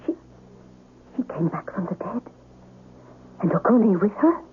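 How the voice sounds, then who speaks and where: A woman's voice: mid-range voice, unhurried, breathy edge. A woman playing an anxious, curious servant's wife in a radio drama, breathless and questioning. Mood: alarmed. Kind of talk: old-time radio drama acting. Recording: muffled radio recording with faint noise.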